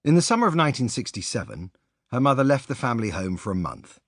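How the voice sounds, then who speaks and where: A man reading a sports biography as an audiobook narrator, in an expressive, measured storytelling manner. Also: mid-range voice, no room sound, studio quality.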